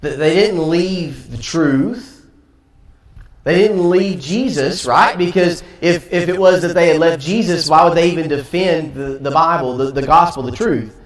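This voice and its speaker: A man's voice: fairly high pitch, measured pace. A man with a Southern American accent teaching a Sunday Bible class in a conversational way, acting out quotes as he goes. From Tennessee. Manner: conversational, acts out quotes, light laughs. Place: church auditorium. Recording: echoey room, from far off.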